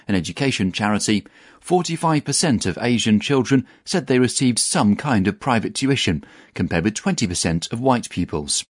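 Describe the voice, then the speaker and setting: A man reading a news article aloud as narrator, in a measured, even way. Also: fairly low pitch, husky, no room sound, clean studio sound. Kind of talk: scripted narration.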